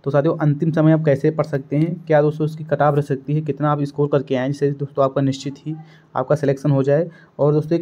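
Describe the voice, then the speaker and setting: Dull lo-fi recording, higher-pitched man teaching. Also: warm tone. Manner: instructive, repeatedly addressing listeners as friends. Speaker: exam-coaching teacher and channel host. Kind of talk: informal strategy lesson to students. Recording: dull, lo-fi sound.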